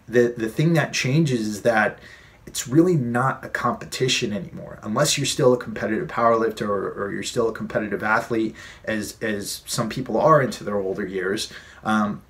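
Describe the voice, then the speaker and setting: A man's voice: on the low side, normal speed, a bit bright. A man in his late thirties hosting a fitness advice vlog, earnest and conversational, repeating key points for emphasis. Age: 30s. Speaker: fitness coach and vlog host.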